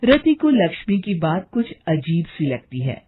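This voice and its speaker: A woman's voice: fairly low pitch, very animated, a little slow, phone-quality sound, music in the background. A woman narrator reading a scripted cartoon story in storybook style.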